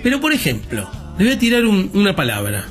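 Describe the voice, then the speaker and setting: Argentine male radio host, slightly high voice, teasing and quick with questions, light music bed. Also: huge pitch swings, a bit bright. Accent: Argentine Spanish. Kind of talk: lively radio chat.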